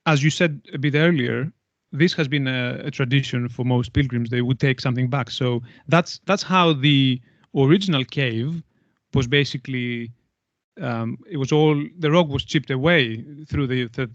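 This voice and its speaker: A man's voice: medium pitch, dry recording, dull audio. A man explaining things conversationally as an interview guest, in hesitant, stop-start phrases with repeated words. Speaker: podcast guest, anthropologist.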